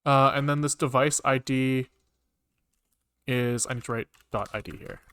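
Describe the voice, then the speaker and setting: A man with a mid-pitched voice talks to himself while coding on a livestream. He mutters bits of code in fragments with casual swearing, recorded dry and close to the mic. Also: steady tone, moderate pace, a bit bright, smooth, no room sound. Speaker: programming livestreamer.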